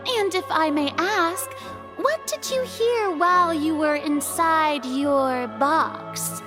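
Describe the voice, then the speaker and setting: A grandiose young noblewoman taunts like a prosecutor in a video game. Her voice is very high and takes its time, pompous and sing-song, over soft music. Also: slow. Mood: confident accusing. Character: theatrical, haughty young lady prosecutor, smug and grandiose.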